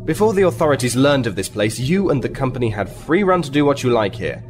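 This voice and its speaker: Guy acting a firm army captain in a space audio drama, very expressive, formal, music under it. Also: medium pitch, fast talker, lightly acted. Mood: stern. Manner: formal, assertive. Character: firm, authoritative male military captain, politely confrontational.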